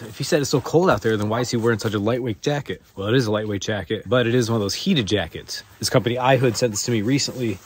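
American man vlogging from a distance. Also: lowish voice. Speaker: outdoor vlogger. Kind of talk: casual vlog talk to camera. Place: outdoors at a forest campsite, sometimes inside a tent. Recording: distant mic, noticeable outdoor ambient noise.

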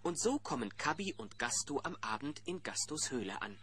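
A man's voice: medium pitch, sing-song, moderate pace, sharp tone, soft background music. A man narrating a children's audio drama in a scripted storytelling style. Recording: noisy old cassette.

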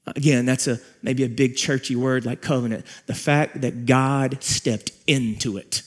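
A man in his forties preaching a sermon on a large church stage, with repeated phrases, pauses and rising emphasis. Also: medium pitch, steady tone. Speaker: pastor.

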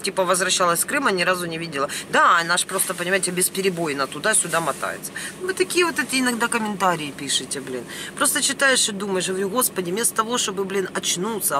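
A woman, speaking indignantly and bluntly in an unscripted vlog rant, recorded inside a van. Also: a little high. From Ukraine. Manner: indignant, emphatic, blunt, peppered with mild swearing. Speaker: vlogger. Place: inside a parked or moving van. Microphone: camera at about arm's length. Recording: noisy vehicle cabin.

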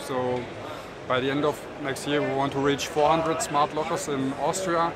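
A man's voice: fairly high pitch, flat delivery, normal speed, a loud crowd. A young man presenting his company at a trade fair, speaking briefly and factually with some hesitation. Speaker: company representative. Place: busy indoor exhibition hall.

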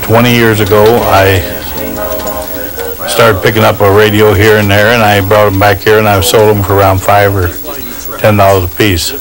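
A man's voice: lowish voice, flat delivery, moderate pace, over music, poor audio. A man in his sixties casually explaining things as a collector and dealer. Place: living room, indoors.

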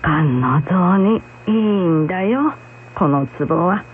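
A woman playing a kindly, frank old blind acupuncturist in a radio drama, gently admonishing. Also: lowish voice, expressive, slow pace. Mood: coaxing. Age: older. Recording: muffled radio recording with hum, faint noise.

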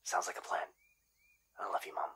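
A man playing an anxious, caring young boyfriend in an ASMR roleplay, speaking softly and hesitantly, often in whispers. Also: normal speed, close to an everyday voice. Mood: worried, subdued. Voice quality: soft, breathy, often whispering. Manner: hesitant, gentle.

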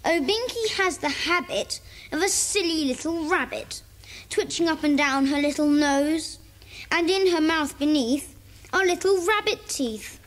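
Dreamy British schoolboy, hesitant, high and unhurried, children's radio-play style. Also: female voice. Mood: bashful. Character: shy, dreamy schoolboy poet.

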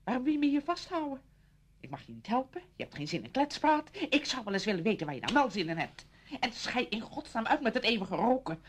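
An actress playing an impatient, exasperated woman visitor in a radio play, brisk, indignant and scolding. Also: fairly high pitch, very animated, slightly rough. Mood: indignant, alarmed. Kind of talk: Dutch radio play acting.

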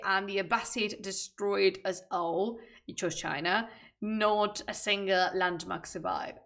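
A young woman from Spain with a Spanish accent, chatting casually and animatedly with her stream viewers from a room at home. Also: medium pitch. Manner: animated, gestures while talking, chats with viewers. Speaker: streamer, manuscript researcher. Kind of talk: casual livestream chat.

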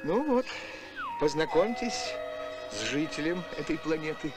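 An actor playing a kindly, wry scientist father in an animated space adventure. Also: on the high side, unhurried. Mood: cheerful. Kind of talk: animated film voice acting. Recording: loud music under the voice.

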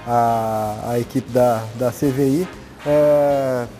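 A man answering interview questions in a measured, hesitant way. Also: medium pitch, steady tone, warm tone. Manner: measured, hesitant with many fillers. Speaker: guest. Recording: faint music under the voice.

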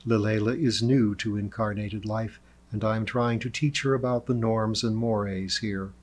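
A man voicing a calm, courteous male celestial peacemaker in a storybook, soft-spoken, measured and formal. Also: on the low side, lightly acted. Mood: calm, firm.

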